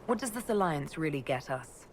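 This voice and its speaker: An elf mage commanding a fantasy army in a video game. Her voice is on the low side, composed and assured, with faint noise behind it. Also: sing-song, moderate pace. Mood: questioning.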